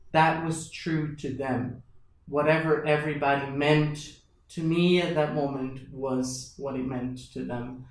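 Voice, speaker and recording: Man, animated. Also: mid-range voice. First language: Portuguese. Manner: animated, acts out his own past thoughts. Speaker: guest. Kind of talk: storytelling in a casual interview. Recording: echoey room, faint music behind.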